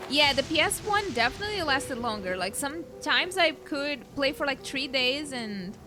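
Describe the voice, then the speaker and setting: High-pitched Brazilian woman streaming a game. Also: animated, moderate pace. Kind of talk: casual let's-play commentary. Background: video game music.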